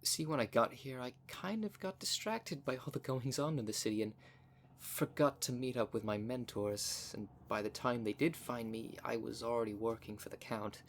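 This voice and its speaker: Male werecat played by a man: boastful, cunning, menacing, mid-pitched, theatrical audio roleplay delivery, faint background noise. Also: moderate pace, lightly acted. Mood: smug storytelling. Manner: smug, taunting, theatrical. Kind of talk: ASMR roleplay monologue.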